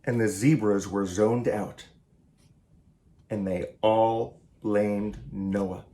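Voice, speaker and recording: A man, a children's author, reading a picture book aloud in an animated, theatrical and emphatic way. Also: fairly low pitch, extremely slow. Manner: theatrical, emphatic, with varied delivery.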